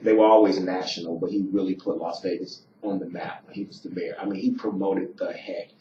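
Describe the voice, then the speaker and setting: Middle-aged male co-host, fairly low voice, jokey; distant roomy recording with faint hiss. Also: little pitch movement, a little fast. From Cuba. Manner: joking, laughs often, frequent agreement. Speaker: radio co-host. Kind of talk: casual radio banter. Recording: roomy, distant, dull lo-fi radio audio.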